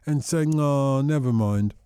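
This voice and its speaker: A man's voice: mid-range voice, measured pace, dark tone, smooth, no room sound, clean studio sound. A man hosting a radio phone-in, chatting casually and thinking aloud with restarts. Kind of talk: casual radio chat with callers.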